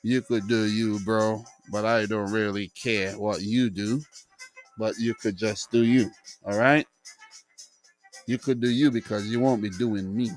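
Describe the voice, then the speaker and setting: A man mimicking his indifferent wife in a low, flat, dismissive voice. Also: measured pace, soft background music, natural voice.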